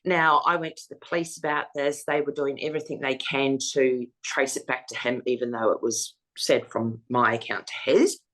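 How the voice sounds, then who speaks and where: A woman with a husky voice, frankly recounting her own experience as an interview guest. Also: very deep voice, fairly even intonation, moderate pace, few pauses, fairly bright tone, poor audio. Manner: frank, matter-of-fact storytelling. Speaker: foundation founder, interviewee. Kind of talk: personal testimony in an interview.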